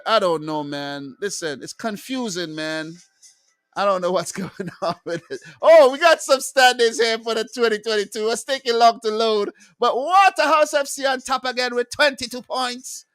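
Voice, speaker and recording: A man's voice: high-pitched, fairly bright tone, clean tone, no room sound. A man hosting a football live stream, talking in a loose, joking way with frequent restarts. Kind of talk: casual live-stream chat.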